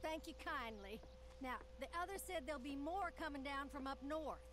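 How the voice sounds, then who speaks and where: A woman acting a folksy saloon keeper from the Old West. Her voice is high, with an American Western accent and plain-spoken manner. The game recording has clear noise and hum behind it. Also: moderate pace, close to an everyday voice. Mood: insistent, folksy. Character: folksy female frontier saloon owner. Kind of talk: scripted game cutscene acting. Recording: noisy game capture with hum.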